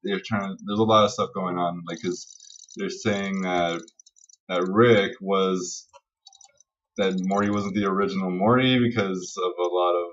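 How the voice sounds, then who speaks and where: Low-voiced, rambling man, muffled and distant. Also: fairly even intonation, measured pace. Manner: rambling, enthusiastic, casual swearing. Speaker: podcast co-host. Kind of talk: casual geek-culture chat. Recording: dull lo-fi audio, distant from mic.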